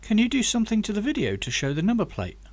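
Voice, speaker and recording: An eager young man in a sci-fi audiobook, acted out by a male narrator, pitched up from plain narration. He is curious and keen, full of questions and exclamations. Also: wildly swooping pitch, quick pace, exaggerated voice. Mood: curious, urgent. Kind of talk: audiobook character dialogue.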